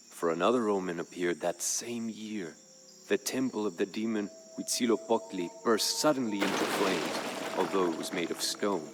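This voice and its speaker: A man voicing a grave Aztec jaguar warrior of royal blood, recounting events in measured, solemn game narration. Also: sits low, a bit bright, slight background noise. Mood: grave, foreboding. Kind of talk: scripted dramatic narration for a game. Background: music.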